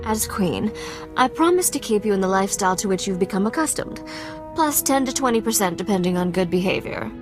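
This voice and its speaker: A woman's voice: medium pitch, lively intonation, fairly quick, music in the background, natural voice. An actress playing a sly, sarcastic young woman smuggler and royal heir in a video game, wry, teasing and self-assured. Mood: teasing, affectionate. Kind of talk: scripted video game dialogue.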